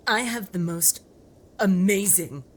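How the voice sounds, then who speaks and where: A woman voicing an excitable, chatty, boastful young bogle, a quirky magical creature in a family audio drama. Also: mid-range voice, slow, background noise. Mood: eager, playful. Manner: chatty, self-interrupting, boastful.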